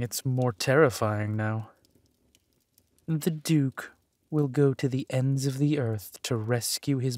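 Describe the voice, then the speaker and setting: An actor playing a protective villainous duke, tender and soothing, in an intimate audio roleplay. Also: male voice, medium pitch, dry recording, lightly acted. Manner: gentle, soothing, unhurried.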